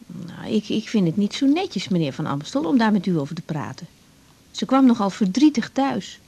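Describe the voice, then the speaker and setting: A young woman doctor in a radio drama, tactful and patient. Her voice is mellow and slightly breathy, with lively rises and falls. She picks her words carefully. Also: medium pitch, normal speed, heavily acted. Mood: tactful, reluctant. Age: young adult. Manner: careful, tactful, a little hesitant. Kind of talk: 1980s Dutch radio drama acting.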